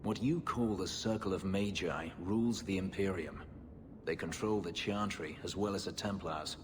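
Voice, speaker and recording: A man voicing a brooding, bitter warrior in a video game, speaking in a measured, grave, dryly sardonic way. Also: low-pitched, in a large hall, noisy background, close to an everyday voice. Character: brooding, bitter male warrior from a slave-keeping empire.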